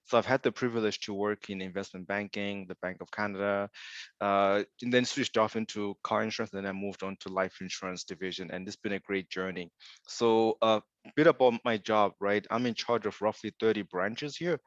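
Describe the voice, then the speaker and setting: A man's voice: lowish voice, brisk, no echo, muffled recording. A young man from Zimbabwe giving an upbeat webinar presentation, telling stories with asides. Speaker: insurance sales director presenting.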